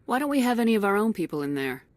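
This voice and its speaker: An actress playing a calm, authoritative female commander in a video game cutscene, speaking in a firm, clipped way. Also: mid-range voice, very fast, dry recording, close to an everyday voice.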